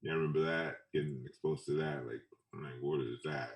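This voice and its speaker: A man from Trinidad and Tobago talks casually as an interviewed photographer, in a halting, laid-back way that repeats phrases. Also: a bass voice, steady tone. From Trinidad and Tobago, later Brooklyn. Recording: roomy echo, distant mic.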